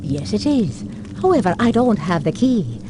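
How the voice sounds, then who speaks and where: A woman's voice: medium pitch, sing-song, moderate pace, background noise, close to an everyday voice. An actress playing a motherly, gossipy older servant woman, speaking wistfully in old-fashioned phrases in a game cutscene. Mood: helpful. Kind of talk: dramatic game cutscene acting.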